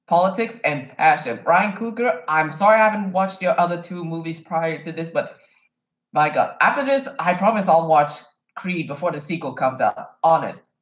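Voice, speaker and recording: A man's voice: a little high, fairly bright tone. Rambling man from Kenya, echoey room. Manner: rambling, wanders off topic; enthusiastic fan, emphatic. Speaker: vlogger. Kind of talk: casual movie review monologue. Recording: echoey room, dull lo-fi sound.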